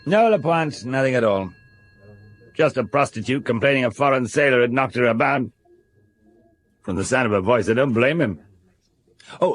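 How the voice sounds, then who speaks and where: A seasoned older police inspector in a radio play. His delivery is brisk and dry, with a wry, matter-of-fact edge. The pitch sits in an ordinary male middle range. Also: husky. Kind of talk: British radio drama acting.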